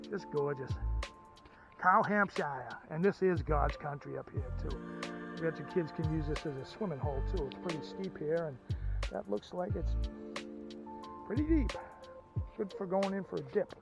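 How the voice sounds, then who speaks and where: Enthusiastic middle-aged man, higher-pitched voice. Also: vocal fry. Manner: enthusiastic, gushing, folksy. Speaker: outdoors and ham radio vlogger. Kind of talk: casual vlog commentary. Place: outdoors, by a stream and at a parked car.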